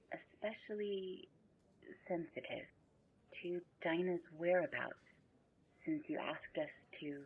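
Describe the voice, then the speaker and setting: Woman as a slow, husky, meek spirit assistant. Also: lowish voice, phone-quality sound, exaggerated voice. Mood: uneasy, careful. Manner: hesitant, deferential, broken phrasing. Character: meek, earnest, otherworldly female assistant spirit. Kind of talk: sci-fi supernatural audio drama.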